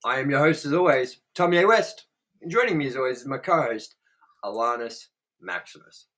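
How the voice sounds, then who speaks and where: A man's voice: mid-range voice, animated, fairly quick, fairly bright tone. A young man hosting a casual movie review chat, blunt and swearing often. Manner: swears a lot, blunt and frank. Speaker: host.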